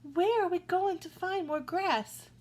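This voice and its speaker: A nervous young billy goat from a storybook, stammering and fretting, as a woman puts him on. His voice sits high and thin above the storyteller's own, and it runs loud and wobbly with worry. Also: unhurried. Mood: fretful. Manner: stammering, fretful. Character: timid nervous middle billy goat, male.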